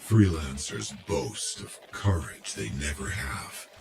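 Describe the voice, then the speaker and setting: An actor playing a menacing, imperious video game warlord who speaks in a pompous, taunting way. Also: male voice, a bass voice, animated, slow pace, vocal fry, slight background noise, full character voice. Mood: cold contempt. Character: menacing male warlord villain, imperious and contemptuous. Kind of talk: cinematic video game villain acting. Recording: echoing voice under loud music.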